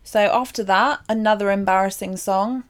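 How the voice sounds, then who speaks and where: A young woman co-hosting a podcast, speaking softly in casual chat and laughing often. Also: medium pitch, fairly even intonation, moderate pace. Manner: soft-spoken, laughs often. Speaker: podcast co-host.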